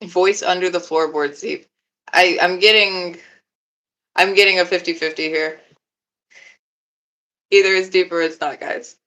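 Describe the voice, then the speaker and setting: A young woman with a lowish, deeper voice chats casually on her live stream. She is laid-back and giggles often, swearing freely as she answers her chat. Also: steady tone, unhurried, long pauses, fairly bright tone, low-quality recording. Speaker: livestreamer.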